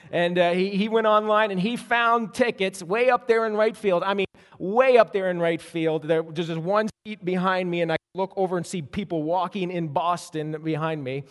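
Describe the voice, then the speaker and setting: A man preaching a sermon as pastor, telling stories in an animated way with frequent restarts. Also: sits high. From Canada. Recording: long hall reverb.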